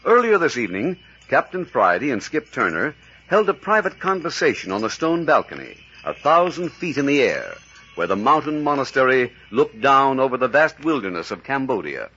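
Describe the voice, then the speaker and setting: An actor as the announcer and narrator of a 1940s radio adventure serial, portentous and declamatory. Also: male voice, medium pitch, very animated, normal speed, pushed and bright, slightly rough, heavily acted. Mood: suspenseful. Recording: muffled vintage 1940s radio recording.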